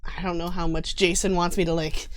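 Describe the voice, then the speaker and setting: A woman with a slightly low voice talks casually on a live stream. She is informal and a little self-deprecating, speaking as a player from a tabletop game show. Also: flat delivery, a little fast.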